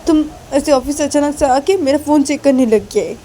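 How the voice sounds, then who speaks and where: A woman's voice: high voice, moderate pace, warm tone, a little hiss or hum, lightly acted. A woman voicing a hurt, tearful young wife in an audio story, loving and indignant, pleading and repeating herself insistently as she cries. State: crying.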